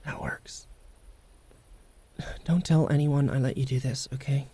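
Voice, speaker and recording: A woman playing a prickly, vulnerable, secretly tender young wolfgirl in an intimate ASMR roleplay, speaking haltingly and often dropping into whispers. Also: very deep voice, steady tone, husky, full character voice. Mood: grudging, shy. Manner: halting, guarded, softly emotional.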